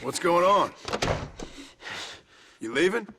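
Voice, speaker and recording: An actor playing a laconic, world-weary gunslinger in a western video game, wry and practical. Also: male voice, high voice, expressive, takes it slowly, noisy background. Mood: dry, weary.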